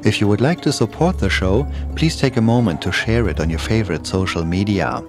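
A man's voice: lowish voice, fairly quick, over music. A man narrating a scripted first-person horror story as a podcast host.

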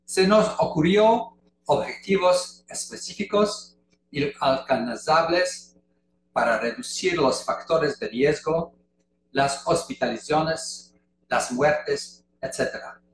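Slow older man speaking carefully. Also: fairly high pitch. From Canada. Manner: slow, deliberate delivery in a second language. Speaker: conference speaker. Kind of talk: prepared lecture. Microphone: video call webcam. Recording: echoey room, faint noise.

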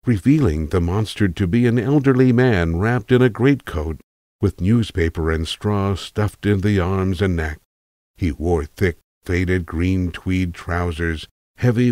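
Guy narrating a story, really deep voice, wry n precise, super dry sound. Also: a little mellow, no echo. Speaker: narrator, voice actor.

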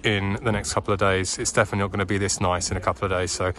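A man's voice: sits low, little pitch movement, very fast. A man, chatting enthusiastically as he films himself at lakes and while driving. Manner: chatty, enthusiastic, many fillers and restarts. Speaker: travel and car vlogger. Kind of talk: casual vlog narration. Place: outdoors by lakes and in a car. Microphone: handheld camera at arm's length. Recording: loud outdoor and car noise.

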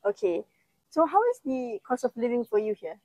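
A woman interviewing people on a city street, asking brisk, short questions while holding a handheld mic toward them. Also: a little high, very animated, a little mellow, no echo, rough recording. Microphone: holding a handheld mic toward others.

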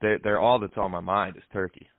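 A young man making a prank phone call in a deadpan, hesitant, rambling way. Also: lowish voice, fairly quick, dry recording. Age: young adult. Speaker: prank caller and show host. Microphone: headset microphone. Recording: dull, band-limited call audio.

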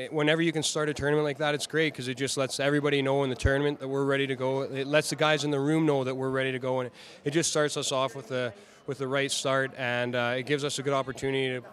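Fast, flat-voiced young man. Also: medium pitch. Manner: fast, even, with many restarts. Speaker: hockey player. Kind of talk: post-game interview. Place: arena media backdrop, indoors. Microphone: handheld reporters' mics, close.

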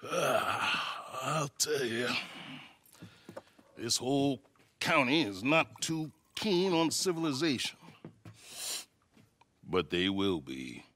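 A stern, menacing frontier sheriff in a video game cutscene. He speaks slowly, with a bright, forceful edge, and his lively pitch swoops up and down as he lays down the law. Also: exaggerated voice. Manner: slow, measured threats with sudden swells.

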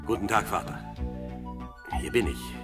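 An actor playing a plain-spoken, impatient young shepherd in a Bible audio drama. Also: male voice, fairly low pitch, measured pace, music in the background, close to an everyday voice. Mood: bewildered. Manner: questioning, exclamatory.

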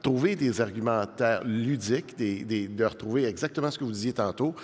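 A middle-aged man argues as a debate panelist, animated and conversational, with quick asides. His voice sits at a middling pitch for a man. It carries the long reverb of a large studio hall. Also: breathy edge. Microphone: desk microphone.

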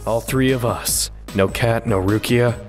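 A man's voice: medium pitch, steady tone, a little slow, natural voice. A man playing a grim, resolute anime fighter with stern menace, in a parody fan dub. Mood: grimly resolute. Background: music.

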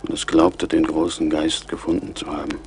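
An actor playing a grave, proud Mohican chief in a radio play, speaking in a solemn, measured, poetic way with a gravelly voice. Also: male voice, a bass voice, little pitch movement, darkish tone, slight background noise. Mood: solemn, mournful. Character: grave, proud middle-aged Mohican chief mourning his people. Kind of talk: German radio play acting.

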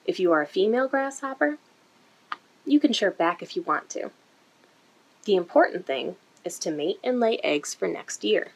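A woman reads a picture book aloud to young children. Her mid-pitched voice has a husky, slightly breathy edge. She phrases things deliberately and expressively, as in a story time. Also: lively intonation, rough recording. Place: indoor room.

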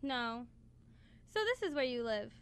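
Plucky, kind toy girl, played by a woman, very high bright voice, coaxing and insistent, radio drama. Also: big pitch swings, unhurried, heavily acted. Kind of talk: student radio play acting. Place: college radio studio, live broadcast. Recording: clear background noise in radio studio.

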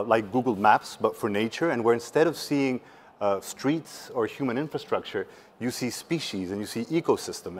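A man's voice: medium pitch, slight background noise. A man around forty, speaking earnestly and emphatically in a conversational conference talk. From Patagonia, Chile. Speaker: startup representative, panel speaker. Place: seated on a conference stage indoors.

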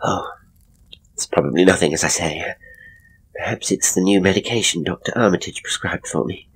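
A man voicing an ailing, frightened married woman who speaks in a halting, hushed way in a horror story. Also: low voice, breathy edge, heavily acted, poor audio. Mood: hushed, uneasy.

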